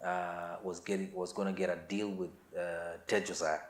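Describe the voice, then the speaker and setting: A man's voice: deep voice, level tone, normal speed. A Kenyan man telling anecdotes casually as an interview guest, acting out bits of dialogue as he goes. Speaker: interview guest, musician recalling career. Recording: roomy, echoing sound.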